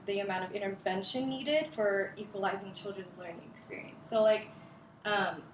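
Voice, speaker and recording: A young woman delivers a class speech at a middle pitch, earnest and a little scripted, with casual fillers slipping in. Her voice rings in an echoey classroom over a faint background hum. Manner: earnest, reads prepared points with casual fillers. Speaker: student giving a class speech.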